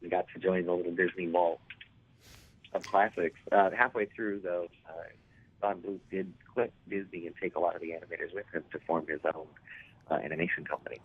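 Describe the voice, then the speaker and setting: A man in his forties with a raspy, hoarse voice, telling stories as an interview guest and rambling with many fillers and restarts. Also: low voice, fairly even intonation, a little fast. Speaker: actor guest. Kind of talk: casual interview storytelling. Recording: tinny phone line.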